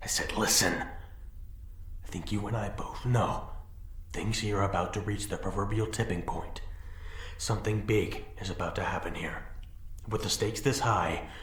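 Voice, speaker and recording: A man playing a secretive, calculating schemer with formal, measured wording in a fan audio drama. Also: fairly low pitch, steady tone, a bit bright, roomy sound. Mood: urgent, conspiratorial.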